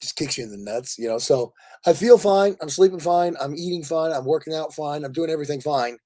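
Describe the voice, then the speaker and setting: A man with a raspy voice worn from a lot of talking, chatting casually and effusively with live viewers as host, in a jokey, rambling way. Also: a little high, brisk, warm tone. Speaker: livestream host.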